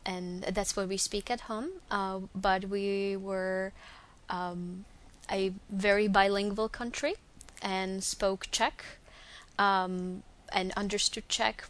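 A woman's voice: mid-range voice, fairly bright tone. A young woman from Slovakia with a Slovak accent, speaking English as a second language; she reads a passage carefully, then talks with some hesitation. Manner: careful, slightly hesitant with fillers and restarts. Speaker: dialect archive speaker. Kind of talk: reading a set passage, then casual self-introduction.

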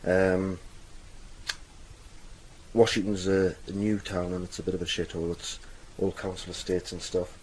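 Young man, mild Geordie accent, very low voice, rambling anecdotal delivery, faint background hum. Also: level tone, moderate pace, long pauses, darkish tone, low-quality recording. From North East England. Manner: loose, rambling storyteller. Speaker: dialect archive speaker. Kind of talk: casual recorded anecdote.

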